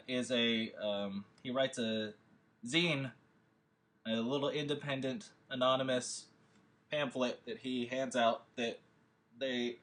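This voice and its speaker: A man speaking informally to an audience as a host and writer, in a rambling, animated and emphatic way. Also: mid-range voice. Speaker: host and scriptwriter introducing a table read.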